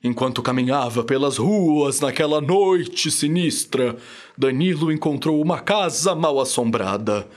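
A man's voice: mid-range voice, animated, a little slow. A young Brazilian man narrating a scripted children's radio story in a dramatic storytelling style. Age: young adult. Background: music.

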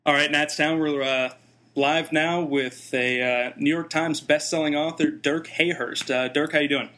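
A man hosting a sports podcast in a casual, somewhat halting chatty style. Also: on the high side, steady tone, bright, forceful tone.

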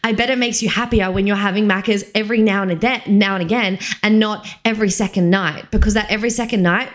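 An Australian woman in her twenties hosts a podcast on her own. Her voice sits at a middle pitch for a woman. She speaks informally and openly, like a coach chatting, and often repeats a phrase to stress it. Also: steady tone, quick pace. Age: 20s. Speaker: podcast host, fitness coach. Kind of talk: casual solo podcast talk.

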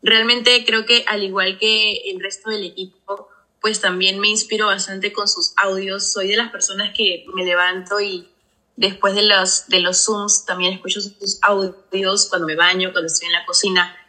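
A woman's voice: medium pitch, flat delivery, normal speed, bright, forceful tone, poor audio. A woman in her twenties, polite and enthusiastic, asking for advice on a group call. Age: 20s. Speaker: caller asking a question.

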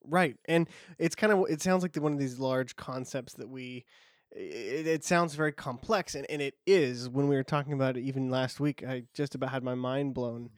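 A man hosting a casual podcast, friendly and halting, with many restarts. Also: mid-range voice, no room sound, studio quality. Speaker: podcast host.

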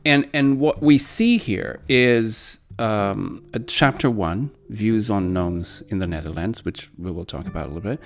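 A man's voice: fairly low pitch, a little slow, hardly any pauses. A man in his forties, not a native English speaker, hosting an interview in an enthusiastic, rambling way with many fillers. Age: 40s. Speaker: podcast host. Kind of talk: casual interview.

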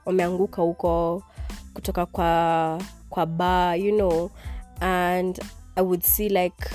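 A woman's voice: lowish voice, little pitch movement, takes it slowly, darkish tone, soft background music. A young Kenyan woman whose first language is Kikuyu hosts a casual solo podcast from her bed. She records on her phone and rambles, filling her talk with "like" and slipping in Swahili words. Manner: rambling, heavy use of "like", mixing in Swahili words. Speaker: podcast host.

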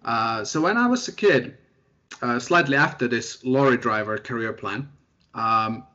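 A young man from Finland, a researcher, chatting in an interview in a relaxed, self-deprecating way with lots of fillers. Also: mid-range voice, measured pace. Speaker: guest researcher. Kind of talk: casual interview chat. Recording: dull lo-fi sound.

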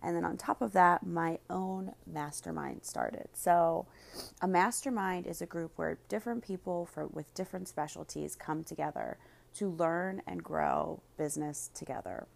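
A woman hosting a podcast, speaking directly to her listeners in a slightly raspy voice. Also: fairly low pitch, moderate pace. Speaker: podcast host and business coach. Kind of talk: podcast monologue, promotional then casual chat.

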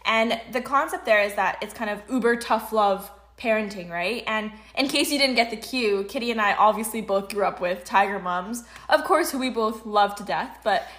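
A woman's voice: mid-range voice, normal speed, hardly any pauses, a bit bright. A woman in her early twenties with a Canadian accent, co-hosting a casual conversational podcast in an articulate, discursive way. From Canada, grew up in Vancouver. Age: early 20s. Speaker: podcast co-host.

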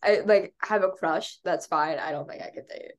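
A woman's voice: fairly low pitch, moderate pace. A young woman co-hosting a podcast, chatting casually with lots of fillers and restarts, sounding congested. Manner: rambling, many fillers and restarts, laughs often. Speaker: podcast co-host. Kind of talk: casual chat.

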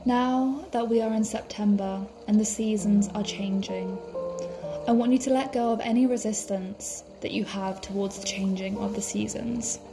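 Woman guiding a meditation over music. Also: medium pitch. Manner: calm, soothing, measured delivery. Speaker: meditation guide, podcast host. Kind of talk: guided meditation narration. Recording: music behind the voice. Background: background music.

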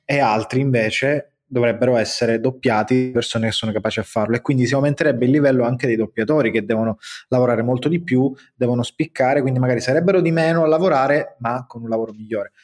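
A man's voice: medium pitch, a little fast, darkish tone. A man hosting a podcast in a casual conversational style. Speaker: podcast host.

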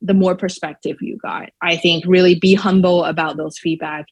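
Chinese-accented woman, low voice, thoughtful. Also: steady tone, moderate pace, a little mellow, breathy edge. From Shanghai, China. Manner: thoughtful, many fillers like "um" and "like". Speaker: podcast guest. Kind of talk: casual interview answers.

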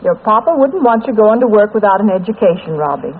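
An actress playing a weary, gentle but firm widowed mother in an old American radio drama, with a plain homespun manner. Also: mid-range voice, expressive, fast, husky. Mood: tired, gently firm. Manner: plain, homespun, mildly scolding. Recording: muffled, noisy old radio transcription.

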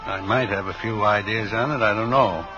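A man's voice: lowish voice, fairly even intonation, normal speed, muffled recording, lightly acted. An actor playing a folksy, pious reformed drug peddler in his fifties, rambling and easily distracted, in a 1950s radio drama. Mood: rambling, obliging. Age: 50s. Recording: old radio drama, music playing behind.

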